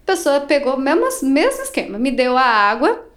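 A Brazilian woman, an English teacher, telling travel stories in a casual podcast chat, animated and acting out quoted voices. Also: high voice, a little fast. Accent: Brazilian Portuguese. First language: Portuguese.

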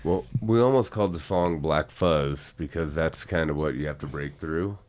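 A man with a very deep, low voice speaks in an easygoing interview. He explains his ideas about music earnestly, building his point slowly. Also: a little mellow. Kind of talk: casual interview chat.

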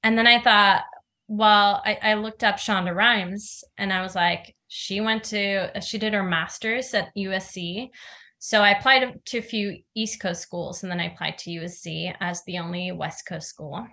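A woman in her thirties from Norway, an actor chatting casually as an interview guest, full of "like" and quick laughs. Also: mid-range voice, bright, forceful tone.

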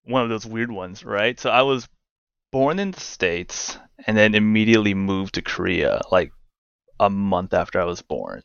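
A man's voice: fairly low pitch, lively intonation, moderate pace, vocal fry, fairly bright tone, smooth, no room sound, highs cut off. A man around thirty, a guest in a casual interview, who talks in a wry, rambling way and fills his speech with "uh" and "like.". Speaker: guest, games news editor.

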